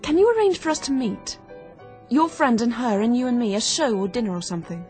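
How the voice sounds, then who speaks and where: An actress playing a keen, quick-witted young woman turned amateur sleuth in a radio drama, brisk, confident and persuasive. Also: fairly high pitch, animated, a little mellow, soft background music, natural voice. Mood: scheming, brisk.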